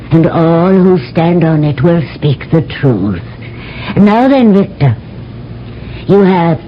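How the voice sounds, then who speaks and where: An actress playing a regal alien queen who speaks in a slow, measured, commanding way, in a 1970s radio drama. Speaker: queen Lura. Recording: dull old radio broadcast with faint rumble.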